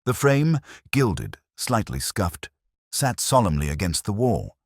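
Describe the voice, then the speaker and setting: A man narrating a mystery audiobook in a measured, literary storytelling manner. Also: fairly low pitch, big pitch swings, no room sound, clean studio sound.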